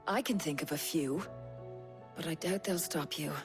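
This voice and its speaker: An actress playing a blunt, caring physician in a video game, with a British accent and an admonishing manner. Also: female voice, low-pitched, normal speed, music in the background, lightly acted. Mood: stern concern. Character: stern, caring female physician, blunt and worldly. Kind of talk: video game cutscene acting.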